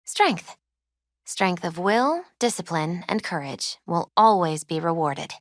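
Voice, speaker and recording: Game casting: soft-spoken young female fortune-teller, lowish voice, earnest and grieving, measured mystical delivery, close dry sound. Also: expressive, dry recording. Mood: solemn, foreboding. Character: gentle, spiritual young woman tarot reader, grieving and earnest. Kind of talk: video game character dialogue and tarot readings.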